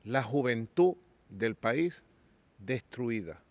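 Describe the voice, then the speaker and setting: Venezuelan-born male podcast host, deliberate storyteller, dry studio sound. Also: mid-range voice, animated, darkish tone, no room sound. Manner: slow, measured storytelling with drawn-out lists. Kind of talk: political conversation.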